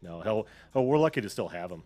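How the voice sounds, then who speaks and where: A low-pitched American man chats casually as host of a video call-in show. He laughs often and lets sentences trail off before restarting. Faint music sits behind his voice on the call. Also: animated, quick pace, a little mellow.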